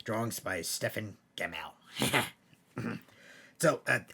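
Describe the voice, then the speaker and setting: A man voicing Cerberus, a gruff, grumbling hellhound who hosts bedtime stories, with a gravelly, raspy voice. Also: medium pitch, sharp tone, heavily acted. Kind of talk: scripted skit banter and horror storytelling.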